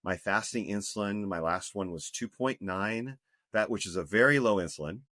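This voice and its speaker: Fifty-something male health coach, low and earnest, emphatic delivery, dry close mic. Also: normal speed, bright, forceful tone, dry recording. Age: 50s. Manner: earnest, emphatic, with many restarts. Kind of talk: podcast interview.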